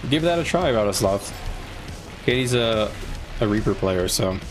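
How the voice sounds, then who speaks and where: A man's voice: fairly low pitch, animated, moderate pace. A man talking casually to viewers on a live gaming stream, explaining things in an informal, conversational way. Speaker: game livestreamer. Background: music.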